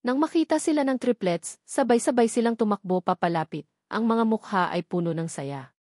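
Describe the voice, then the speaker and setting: A woman's voice: fairly high pitch, moderate pace, darkish tone, dry recording, studio quality. A woman with a Filipino accent reads scripted narration for a romantic audio drama as a storyteller.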